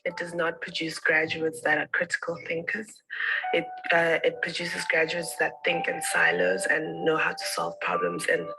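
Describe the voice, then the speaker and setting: A woman from South Africa giving a thoughtful, measured statement. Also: low voice, low-quality recording. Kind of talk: promotional statement.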